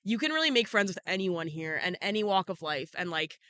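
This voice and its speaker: A man from Canada chats on a podcast at a rapid clip. His voice sits high and is very bright, and he strings his thoughts together with constant "like"s. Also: very animated, a little hiss or hum. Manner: rapid and chatty, heavy with "like". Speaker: podcast co-host. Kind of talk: casual chat.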